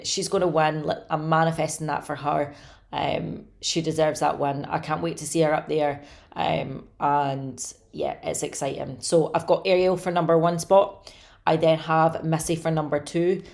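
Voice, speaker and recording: A woman with a slightly hoarse, raspy voice, chatting casually and eagerly to camera about her contest predictions. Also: sits low, a little mellow. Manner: chatty, enthusiastic, many fillers. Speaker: bodybuilding commentator.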